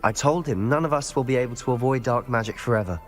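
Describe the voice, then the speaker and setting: An actor playing a determined young wizard student who speaks in a wry way. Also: male voice, mid-range voice, fast talker, soft background music, lightly acted. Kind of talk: video game voice acting.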